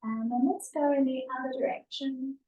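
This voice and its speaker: A woman yoga instructor leading a guided stretching and breathing class in a gentle, soothing, unhurried manner, recorded live in a studio. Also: high-pitched, steady tone, muffled recording. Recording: echoey room, distant mic.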